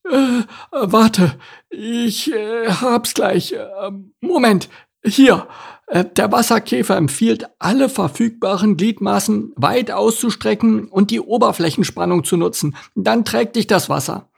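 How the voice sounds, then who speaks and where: Man doing a fussy lady turtle, very high, slow and drawn out, stumbling over words. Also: creaky, a little mellow, husky, heavily acted. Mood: panicked. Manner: flustered, halting, drawn-out. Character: fretful female turtle friend.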